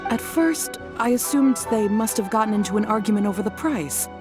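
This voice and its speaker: A woman's voice: medium pitch, animated, fairly quick, music in the background, lightly acted. Worried, earnest wife voiced by a woman. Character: worried, weary wife and mother, earnest, forgiving. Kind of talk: video game voice acting.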